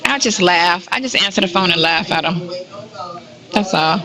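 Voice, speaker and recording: A woman's voice: lowish voice, normal speed, crowd noise, rough recording. A woman in her fifties chatting casually on a call, blunt and slangy as she tells stories and quotes what others said. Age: 50s. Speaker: caller on a prison call. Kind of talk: casual gossipy phone chat.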